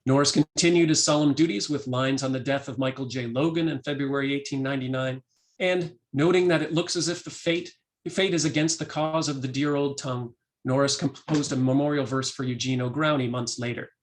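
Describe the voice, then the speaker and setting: A man, an academic lecturer, reading a prepared talk in a measured way and voicing quoted passages. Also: medium pitch, steady tone. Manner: measured, reads quotations aloud.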